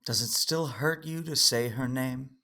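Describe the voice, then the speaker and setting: A man playing a calm, knowing alien Jedi in an audio drama, with a husky voice and an understated, gently challenging manner. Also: mid-range voice, unhurried. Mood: guarded, wry.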